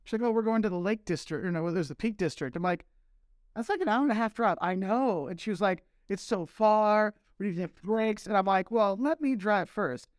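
A man's voice: sits high, a little fast, no room sound, studio quality. An American man, a marketing professor and travel vlogger, telling stories in a casual podcast chat and acting out the voices he quotes. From United States. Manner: animated storyteller, acts out quoted voices.

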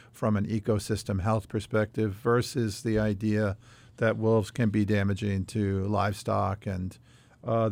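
A man hosting a conversational policy news discussion, explaining things in a measured way. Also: lowish voice, darkish tone, dry recording. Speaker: podcast host leading the discussion.

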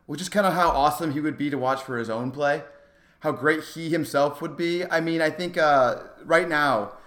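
A man's voice: a little high, a bit bright. A man co-hosting a casual sports podcast, talking in an enthusiastic, emphatic way. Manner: enthusiastic, emphatic, quick to laugh. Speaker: podcast co-host.

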